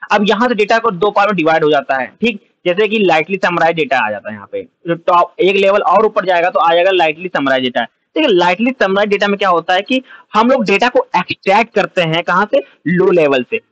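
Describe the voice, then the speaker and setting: A man with a fairly high voice lectures over a screen recording, putting a question and then answering it himself as he goes. His voice is close and dry, with a slightly dull, muffled sound. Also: fairly bright tone, no room sound. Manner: explains step by step, asks and answers own questions. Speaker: computer science teacher. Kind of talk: whiteboard lecture.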